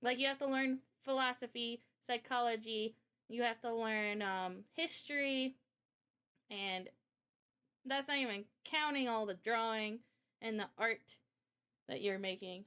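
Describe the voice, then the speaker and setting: A woman's voice: on the high side, a little slow, fairly bright tone, smooth. A woman chatting casually on a livestream Q&A in a giggly, informal way, as the host. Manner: giggly, laughs often while talking. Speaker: host and visual novel developer.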